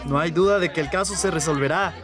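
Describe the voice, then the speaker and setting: An actor playing a jovial, glib police lieutenant with expansive, courteous, theatrical speech in a radio drama. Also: male voice, fairly high pitch, quick pace, over music, crowd noise, lightly acted. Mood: glib, reassuring.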